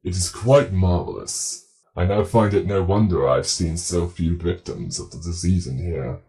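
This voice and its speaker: A man voicing a courteous, eerie plague doctor entity with a formal, measured, calmly zealous manner, in an audio drama. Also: deep voice. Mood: curious, genteel.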